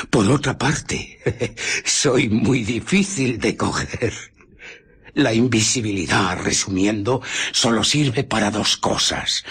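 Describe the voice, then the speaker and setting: An actor playing an embittered, menacing invisible scientist in a Spanish radio drama, with a Castilian accent, husky breath and intense, halting emphasis that sinks into whispers. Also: male voice, fairly low pitch, a bit bright, heavily acted. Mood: cold, calculating.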